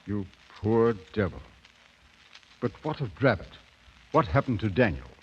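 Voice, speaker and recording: Slow, low-voiced, grave gentleman. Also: very animated, a little hiss or hum. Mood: shocked, pitying. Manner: grave, measured. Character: sober, concerned gentleman writer recounting a strange tale. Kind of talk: 1950s radio drama acting and narration. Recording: muffled 1950s radio broadcast.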